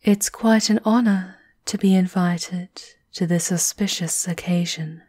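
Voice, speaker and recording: A woman plays a prim castle tailor in an ASMR roleplay, formal and coolly menacing. Her delivery is very slow and measured, often slipping into a soft, mellow whisper. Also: lowish voice, fairly even intonation. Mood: coolly polite.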